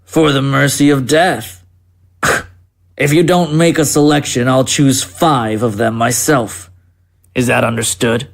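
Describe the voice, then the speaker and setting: An actor playing a menacing military captain, clipped and commanding, with cold cruel amusement, in an audio drama. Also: male voice, mid-range voice, steady tone, unhurried, gravelly.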